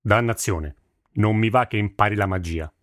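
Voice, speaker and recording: A man voicing a gruff, protective armourer father in an audiobook, deep-voiced, halting and reluctant in manner. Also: a bit bright, no echo, clean studio sound, natural voice. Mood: irritated. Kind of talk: audiobook character voice.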